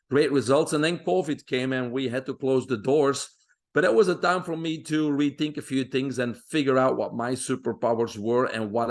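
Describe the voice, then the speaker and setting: A middle-aged man with a Belgian accent speaks at an ordinary, mid-range pitch. He tells his story in a steady, self-assured way and often pauses on a drawn-out "uh" between thoughts. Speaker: guest. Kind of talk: interview storytelling.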